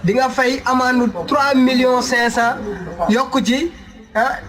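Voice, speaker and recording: A man's voice: very high-pitched, steady tone, takes it slowly, music in the background. A man with a Senegalese accent voicing a bossy, exasperated police commander who questions and scolds in a comic cartoon. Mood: scolding. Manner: interrogating, scolding.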